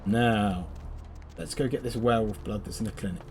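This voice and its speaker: A man's voice: on the low side, normal speed, a little mellow. A man giving casual co-host commentary on a video game playthrough. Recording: faint background noise, keyboard typing.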